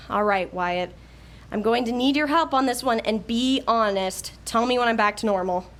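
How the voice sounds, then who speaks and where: A woman's voice: on the high side, moderate pace, background noise, natural voice. A woman as a bossy sardonic young woman. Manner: imperious, sardonic. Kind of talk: audio drama acting.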